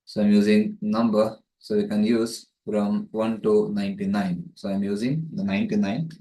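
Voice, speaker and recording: A man's voice: lowish voice, fairly even intonation, moderate pace, mellow. A man giving a methodical, step-by-step tutorial narration as a networking tutor, recorded with roomy echo on a distant microphone. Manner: methodical, explanatory.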